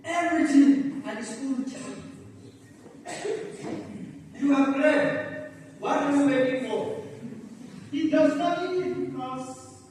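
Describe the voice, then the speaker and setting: An amateur performer acting as a boisterous young village boy in a stage play, with a very high voice. The lines are declaimed slowly and stiffly, each phrase set apart. The sound is distant and swims in heavy echo. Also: darkish tone. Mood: animated. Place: large reverberant hall, recorded from afar. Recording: very echoey hall, distant mic, faint noise.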